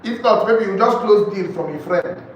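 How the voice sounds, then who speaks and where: A man's voice: high voice, normal speed, lo-fi sound. A man with a Nigerian accent teaching a training session, emphatic, repeating key phrases and putting rhetorical questions to his listeners. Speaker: real estate sales trainer. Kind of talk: live training lecture to a group. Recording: echoey room, distant mic, faint noise.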